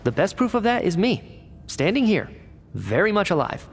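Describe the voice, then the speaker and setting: An actor playing an analytical male researcher in a video game cutscene, explaining in a measured, cerebral way. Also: high voice, very animated, creaky, breathy edge, heavily acted. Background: game score music.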